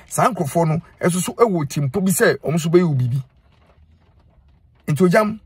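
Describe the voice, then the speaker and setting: A man's voice: a little high, husky, no echo. A middle-aged Ghanaian man speaking Twi, giving earnest, scolding advice straight to his phone camera from inside a car, and repeating lines for emphasis. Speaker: social media commentator. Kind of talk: direct-to-camera advice monologue. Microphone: phone held close.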